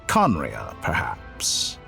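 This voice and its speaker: An actor playing an urbane, condescending video game villain with a formal, theatrical, smugly polite delivery. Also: male voice, very deep voice, wildly swooping pitch, very slow, creaky, sharp tone, faint music behind, exaggerated voice. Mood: smug, coaxing. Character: urbane, condescending male villain with godlike powers.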